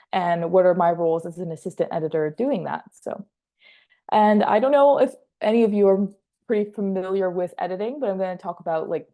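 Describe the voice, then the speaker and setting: A young woman with a French Canadian accent talks students through her work in a loose, chatty way, full of fillers and restarts. Her voice sits a little low and sounds warm and mellow. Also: creaky. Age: 20s. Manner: chatty, many fillers and restarts, light laughs. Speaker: guest speaker, assistant editor. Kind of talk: casual slideshow talk to students.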